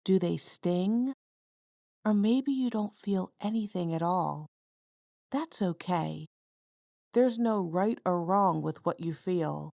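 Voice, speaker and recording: A woman guiding a body scan meditation in a calm, measured, instructional way. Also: on the low side, gravelly, no room sound, clean studio sound. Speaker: mindfulness guide.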